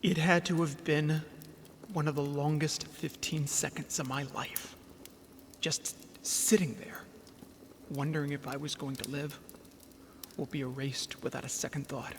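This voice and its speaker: A man's voice: a little high, level tone, a little slow, a little hiss or hum. A man playing an ordinary, earnest, anxious guy in an audio drama, both acting and narrating. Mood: subdued, regretful.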